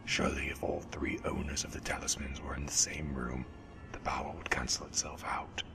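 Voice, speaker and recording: A man playing a menacing, sardonic Sith lord in a sci-fi audio drama, with a deep whispery rasp. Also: level tone, over music. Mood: cold, impatient. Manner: slow-burning threats, sardonic. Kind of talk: fan audio drama acting.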